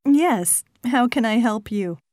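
A woman voicing students, a professor and an office clerk in scripted exam dialogues, with a clear, polite delivery. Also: a little high, lively intonation, slow pace, a little mellow, no echo, clean studio sound.

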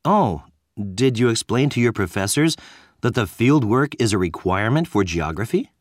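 Man acting casual exam dialogues, lively pitch. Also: moderate pace, no room sound, clean studio sound. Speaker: student in scripted dialogue. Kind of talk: scripted dialogue reading.

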